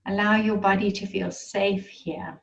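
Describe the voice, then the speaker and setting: Slow, distant-sounding woman guiding meditation. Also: medium pitch, steady tone, creaky, dull audio. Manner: soothing, unhurried, gentle instruction with pauses. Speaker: meditation guide leading a group. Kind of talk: guided meditation.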